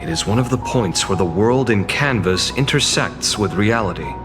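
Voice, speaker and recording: A man's voice: on the low side, animated, normal speed, music in the background, close to an everyday voice. A man voicing a courteous, formal male guide who is easily flustered in a video game.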